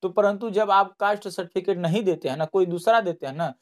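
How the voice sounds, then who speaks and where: A man's voice: sits high, fairly quick. A man giving an informal explainer to students, repeating himself and reassuring them as he goes. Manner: repetitive, reassuring. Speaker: online exam-update presenter.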